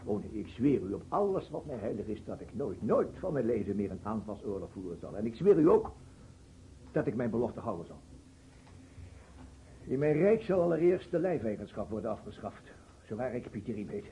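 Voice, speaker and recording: Effusive, swooping boyar ruler. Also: male voice, on the low side, very animated, a little fast, warm tone, slight background noise, low-quality recording. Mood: earnest pleading. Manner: effusive, repeats himself, swears earnest oaths. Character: agitated, pleading male boyar ruler of a war-torn realm. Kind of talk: radio play acting.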